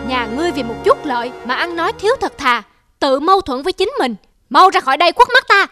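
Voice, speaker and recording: A scornful, commanding general from an animated folk tale. The pitch is high and loud music plays behind. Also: female voice, fast.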